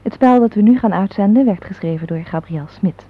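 A woman's voice: medium pitch, fairly quick. A woman radio announcer reading a formal scripted introduction to a broadcast. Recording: thin, phone-like old radio recording.

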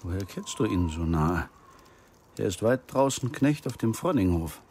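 An actor playing a stern older head of a farm household in a German radio drama, probing in deliberate, formal diction. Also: male voice, on the low side, sing-song, a little mellow, slight background noise. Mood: suspicious.

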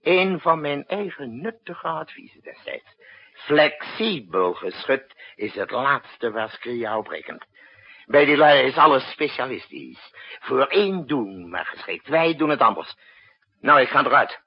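An actor playing a brisk, commanding president who gives curt military orders in a 1960s Dutch radio drama. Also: male voice, medium pitch, very animated, exaggerated voice. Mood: confident. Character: commanding, brisk male president directing a night-time military crisis. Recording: muffled, husky-sounding old radio broadcast recording.

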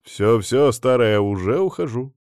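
A man's voice: mid-range voice, expressive, unhurried, a little mellow, clear, resonant tone, dry recording, studio quality. A man voicing a brash young male servant of chaos, blunt and needling, in a fantasy story. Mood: backing down.

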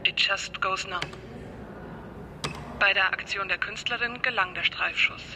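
An actor playing a detached reporter in a radio play, giving a factual scripted account. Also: male voice, high voice, unhurried. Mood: detached, reporting. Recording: clearly noisy radio-like background.

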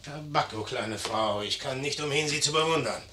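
An actor playing a young, proud, hot-tempered general in a theatrical 1950s German radio play, sardonic and imperious in manner. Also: male voice, mid-range voice, normal speed, pushed and bright, slight background noise, natural voice. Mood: mocking, amused.

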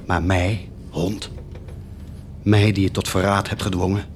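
A noisy radio play recording with low rumble behind it. a bitter, stern accuser with a very low voice. He speaks gravely and very slowly, often sinking to a half whisper. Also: little pitch movement. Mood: bitter, solemn. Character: bitter, accusing betrayer who sternly keeps watch. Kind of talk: radio drama acting.

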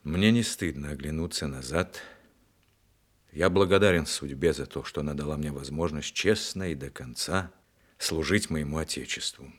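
An actor playing a principled, dying old war veteran in a Soviet radio drama, speaking in a solemn, measured, earnest way. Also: male voice, very deep voice, a bit bright.

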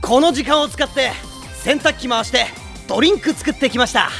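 A man playing a rowdy, hot-blooded high school volleyball boy with bombastic, shouty delivery in an anime audio drama. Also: normal speed, vocal fry, soft background music, full character voice. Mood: fired up.

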